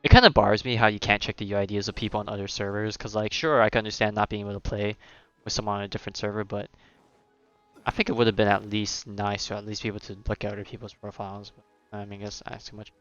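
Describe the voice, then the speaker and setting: A man's voice: lowish voice, level tone, fast talker, fairly bright tone, clean tone, no echo, highs cut off, faint music behind. A man giving casual livestream game commentary, rambling and thinking aloud in a hesitant way. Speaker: game streamer.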